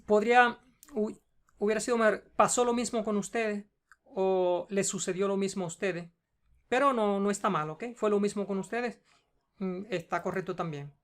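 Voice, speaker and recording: Cuban teacher patiently correcting homework. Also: female voice, mid-range voice, steady tone, normal speed. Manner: patient, explains step by step, checks in with "ok?". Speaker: Spanish teacher.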